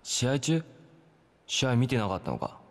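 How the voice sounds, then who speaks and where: An actor playing a brash, hot-headed young fighter with rough, blunt street speech in a video game. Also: male voice, medium pitch, sing-song, moderate pace, slight background noise, natural voice. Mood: indignant. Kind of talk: dramatic video game cutscene acting.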